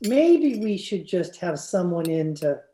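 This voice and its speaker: An exasperated, skeptical husband played by a man in an old radio comedy. He talks slowly and sardonically in a roomy, echoing recording with faint noise. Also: high voice, very animated, mellow. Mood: wary, sardonic.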